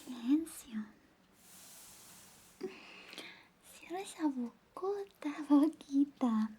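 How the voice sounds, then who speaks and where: Woman acting out high, whispery, flirty best friend. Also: lively intonation, takes it slowly, slight background noise. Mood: coaxing, teasing. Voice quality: soft, breathy whispering mixed with soft voice. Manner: coaxing, intimate, lingering. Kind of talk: Spanish ASMR roleplay, whispered personal attention.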